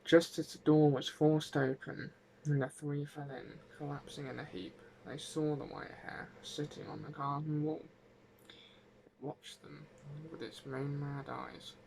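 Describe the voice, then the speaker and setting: A man's voice: a little high, level tone, a little slow, creaky, darkish tone, slight background noise, rough recording. A man narrating a folk tale as an ASMR storyteller, with dramatic, suspenseful delivery.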